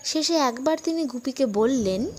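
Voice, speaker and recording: A woman's voice: high voice, expressive, normal speed, slight background noise. A woman narrating a scripted children's folk tale as a storyteller for an audiobook.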